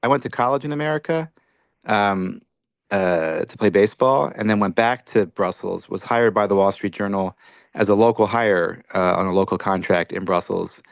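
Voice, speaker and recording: Author guest, male, very low voice, dry close sound, thoughtful and halting. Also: creaky, dry recording. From Belgium. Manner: reflective, many restarts. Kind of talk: podcast interview.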